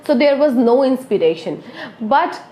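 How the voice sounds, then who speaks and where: A woman with an Indian accent teaches spoken English in an earnest, coaxing way, tagging her points with "okay?". Her voice sits fairly high. The recording has an echoey room sound. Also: lively intonation, normal speed, warm tone, slight background noise. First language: Hindi. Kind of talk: motivational video lesson.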